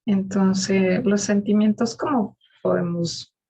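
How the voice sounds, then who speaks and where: Slow-talking female student, husky, muffled sound. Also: mid-range voice, fairly even intonation, mellow. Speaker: student asking the teacher. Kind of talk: informal class question.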